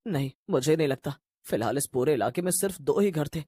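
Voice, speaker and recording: A man's voice: a little high, expressive, a little fast, mellow, husky, dry recording. Man as an earnest young worker, dry sound. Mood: reasoning. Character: earnest, reasoning young male shop worker.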